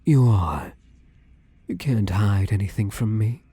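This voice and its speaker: A man voicing a husky, menacing shadow spirit. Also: fairly low pitch, warm tone, no echo, exaggerated voice. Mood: taunting. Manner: slow, menacing, drifts into whispers. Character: possessive domineering male shadow spirit. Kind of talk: ASMR roleplay.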